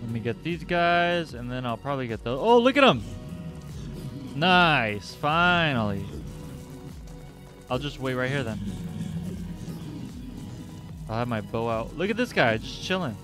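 A man in his twenties chatting casually with his livestream chat while playing, laid-back and quick to laugh. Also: mid-range voice, very animated. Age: 20s. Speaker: livestream gamer. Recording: game music loud behind. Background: game music.

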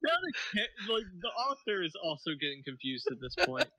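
A bright, lively voice with a wide swinging pitch, bantering as co-host on a comic read-aloud. The manner is giggly and teasing, recorded close and dry. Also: a little fast, no echo, poor audio. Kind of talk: casual comedic banter.

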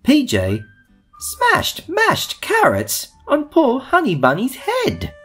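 A man reading a children's storybook aloud in a theatrical way, with drawn-out emphasis. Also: extremely high pitch, huge pitch swings, a bit bright, faint music behind. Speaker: storybook reader.

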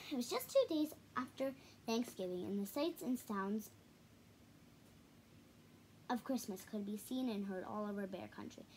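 A young girl of about ten to twelve reading a children's picture book aloud haltingly, with stumbles, repeats and casual asides. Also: mid-range voice, animated, darkish tone, a little hiss or hum. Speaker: storybook reader. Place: small living room at home, indoors.

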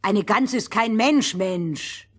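A woman's voice: medium pitch, slow, slightly rough. A woman playing a bossy, exacting storyteller in a fairy-tale audio play, with emphatic delivery. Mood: firm, correcting. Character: bossy, exacting female storyteller, correcting her partner. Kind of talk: acted fairy-tale narration in dialogue.

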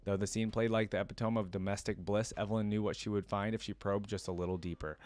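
A man's voice: on the low side, fairly even intonation, quick pace, a little mellow, dry recording. A young adult man reading a story aloud and adding casual commentary as a reaction video host. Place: indoor room at a computer desk.

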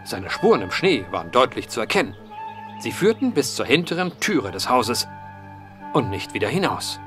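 Male role, played by an actor: earnest, methodical investigator for period horror radio play. Formal, courteous, lively intonation, faint music. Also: mid-range voice, close to an everyday voice. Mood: grave narrating. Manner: formal, measured, old-fashioned phrasing. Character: earnest, methodical man investigating vanishings, polite storyteller. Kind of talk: period horror audio drama acting.

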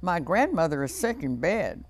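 A woman's voice: on the low side, big pitch swings, moderate pace. A woman voicing an innocent, trusting little girl in a storybook, earnest and wide-eyed.

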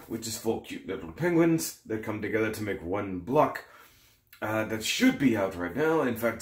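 Chatty man with a slightly sore throat. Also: on the low side. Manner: rambling, self-correcting, chatty. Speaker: toy and model kit reviewer. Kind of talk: casual unboxing vlog. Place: home kitchen, indoors. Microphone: camera in front at close range. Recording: echoey kitchen.

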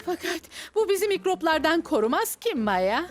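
A woman's voice: extremely high pitch, very animated, normal speed. A woman voicing a regal, authoritative queen bee in a dubbed children's cartoon, formal and commanding. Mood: concerned.

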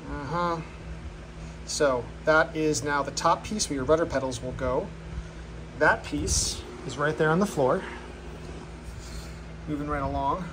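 Dude rambling through his workshop gear, higher voice, noisy room, kinda jokey. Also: fairly bright tone. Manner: offhand and self-deprecating, with fillers. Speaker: channel host. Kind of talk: casual workshop walkthrough. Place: home workshop, indoors.

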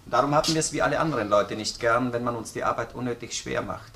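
An actor playing a dry, courteous yet probing Austrian police detective in a crime drama, with measured ironic politeness. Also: male voice, medium pitch, vocal fry, bright, forceful tone, slight background noise. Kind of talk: crime radio play acting.

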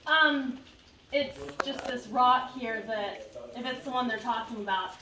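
A woman's voice: a little high, measured pace, reverberant, noisy background. A young woman hosting her outdoor vlog, chatting casually and laughing easily. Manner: laughing, animated. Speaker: vlog host. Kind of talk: casual chat. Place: outdoors on a rocky trail. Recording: distant mic with music.

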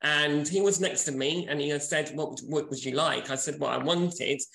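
A man's voice: a little high, normal speed, creaky, fairly bright tone. A man, chatting enthusiastically as a podcast co-host. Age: 40s. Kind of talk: casual podcast chat. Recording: roomy echo.

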